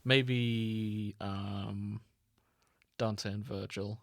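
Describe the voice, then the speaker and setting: A man's voice: lowish voice, steady tone, extremely slow, gravelly, no echo, clean studio sound. A man co-hosting a podcast, talking informally and conversationally about fandom. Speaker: podcast co-host. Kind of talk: casual chat about fandom.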